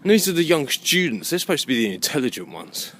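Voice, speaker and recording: A man's voice: on the high side, very animated, fast talker, a bit bright. A man giving a rambling, unscripted, opinionated monologue to camera as a video blogger, often repeating himself and swearing freely. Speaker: video blogger with his own channel. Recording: clear background noise, rough amateur recording.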